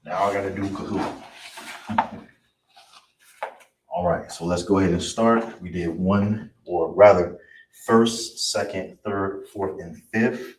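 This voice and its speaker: A man teaching a live-streamed online language class in a relaxed way, often checking in with "you understand?" and "all right?". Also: low voice, slow pace, pauses often. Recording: roomy, distant, faint hiss.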